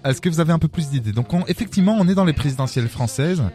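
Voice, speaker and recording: A man's voice: a little high, lively intonation, racing, warm tone. Playful male radio host, faint music. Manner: playful, teasing, laughs often. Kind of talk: jokey live radio banter. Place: radio studio. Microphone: studio microphone.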